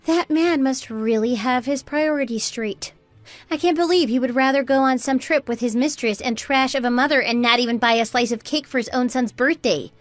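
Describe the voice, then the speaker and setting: Casting: fed-up young wife and mother, played by a woman, fairly high voice, argumentative, radio drama, dry sound, faint music beneath. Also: normal speed, no room sound, lightly acted. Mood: outraged, bitter. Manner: argumentative, sarcastic, pointed. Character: indignant, fed-up young daughter-in-law and mother. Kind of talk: scripted radio drama dialogue.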